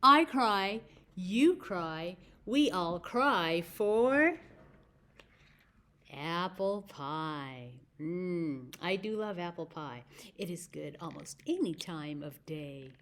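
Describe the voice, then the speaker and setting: A woman with an American accent hosting a children's story time, speaking deliberately as if to young listeners. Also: lowish voice, lively intonation, bright, forceful tone. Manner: slow, deliberate phrasing with questions to young listeners. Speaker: library story time host.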